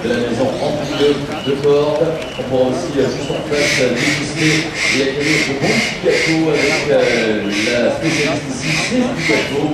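Man announcer at a fair, halting, heard from far off in a booming hall with a crowd. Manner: hesitant, many filler pauses. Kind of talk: public address announcements. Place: large exhibition hall. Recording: echoey hall, far mic, crowd noise. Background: crowd chatter.

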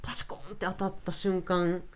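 A woman's voice: lowish voice, little pitch movement, brisk. A woman with a Kansai accent chatting casually and effusively as an enthusiastic fan, repeating words for emphasis. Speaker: theatre fan and co-host recounting a show she saw. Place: small indoor room, seated on a sofa.

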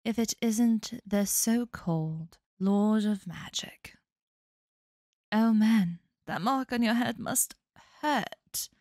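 Woman playing a slow, mocking oracle of the gods. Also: medium pitch, darkish tone, dry recording, clean studio sound, natural voice. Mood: menacing, mocking. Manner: mocking, theatrical. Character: sardonic, grudge-holding female oracle of the gods. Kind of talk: ASMR audio roleplay.

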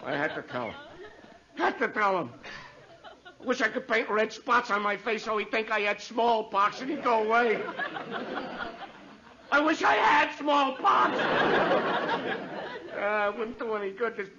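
An actor playing an exasperated, self-pitying department store clerk who frets and mutters, in old radio comedy style. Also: male voice, high voice, lively intonation, measured pace, long pauses, crowd noise. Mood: exasperated, despairing. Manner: fretful, muttering complaints to himself. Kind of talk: 1950s radio comedy acting. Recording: dull, noisy old radio recording.

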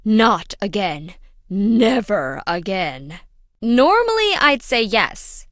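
Quirky, animated young lab worker. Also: female voice, medium pitch, slow pace, dry recording, clean studio sound, full character voice. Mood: playful, wry. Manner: wry, theatrical, chatty. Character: quirky, dramatic young female lab worker, jittery and playful. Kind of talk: video game voice acting.

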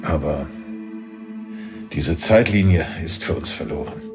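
A grave, deep-voiced father. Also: measured pace, over music. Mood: solemn. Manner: solemn and earnest. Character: grave long-lost father, time-war soldier. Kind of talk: audio drama acting.

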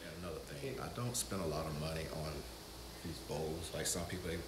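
Very deep-voiced man in his fifties. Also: a little fast. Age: 50s. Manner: relaxed, conversational, talks straight to the camera. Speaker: vlogger. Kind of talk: casual how-to talk. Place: home kitchen, indoors. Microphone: camera mic from a few feet away. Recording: echoey kitchen with background noise.

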